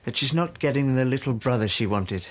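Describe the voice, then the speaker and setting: A man's voice: medium pitch, expressive, a little fast, natural voice. An actor playing a cold young husband hiding his grief in a drama, curt and defensive. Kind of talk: naturalistic drama.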